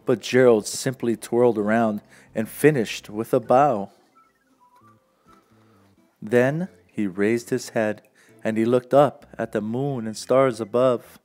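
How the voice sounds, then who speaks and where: A man's voice: medium pitch, steady tone, takes it slowly, darkish tone. Male children's story reader over music. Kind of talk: read-aloud of a rhyming picture book.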